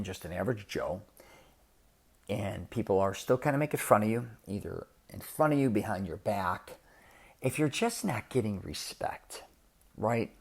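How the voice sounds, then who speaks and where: Blunt man, low voice. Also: a little mellow. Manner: blunt, direct, coaching tone. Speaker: podcast host. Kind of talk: casual monologue.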